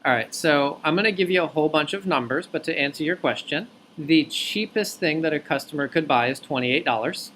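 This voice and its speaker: A man with a slightly high voice speaks as a job recruiter on a call, over faint background noise. He explains in an organized, articulate way, laying out his answers point by point. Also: brisk, few pauses, a bit bright. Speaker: company recruiter interviewing an applicant by phone. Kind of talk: explanatory job interview talk.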